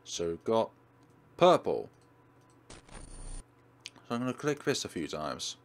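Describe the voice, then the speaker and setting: Low-voiced man guiding through a game. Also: big pitch swings, takes it slowly. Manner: instructional, step by step. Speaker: let's play host. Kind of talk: game walkthrough commentary.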